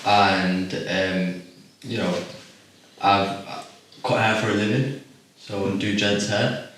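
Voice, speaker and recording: A calm man in his twenties with a low voice, from Iraq. He speaks thoughtfully and pauses on ums, recorded from a distance in a very echoey room. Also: a little hiss or hum. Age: 20s. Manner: calm, reflective, many ums. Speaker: guest. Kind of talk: casual interview.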